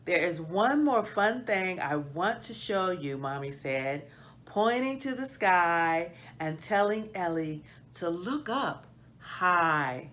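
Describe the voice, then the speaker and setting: Middle aged lady doing storytime for kids, slightly low voice, takes her time, expressive. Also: slow. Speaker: host of a children's book read-aloud. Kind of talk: expressive children's storybook reading. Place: small plain indoor room.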